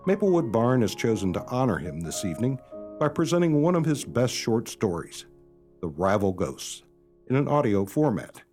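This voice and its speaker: Male radio drama announcer, formal scripted delivery, expressive intonation, music bed underneath. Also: mid-range voice, moderate pace. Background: prominent music.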